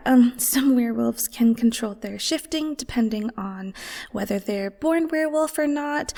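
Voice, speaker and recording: A woman's voice: on the high side, mellow, no room sound, studio quality. A woman playing an affectionate, playful and protective werewolf girlfriend in an intimate audio roleplay, tender and teasing, often murmuring or whispering. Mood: casual, curious.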